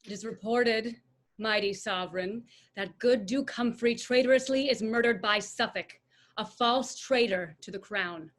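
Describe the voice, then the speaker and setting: A woman's voice: on the high side, a little slow, a bit bright. A woman playing a loyal English nobleman in a classical verse drama. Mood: accusing. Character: loyal, accusing English nobleman addressing his king. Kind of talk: classical verse stage acting. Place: remote staged reading over video call.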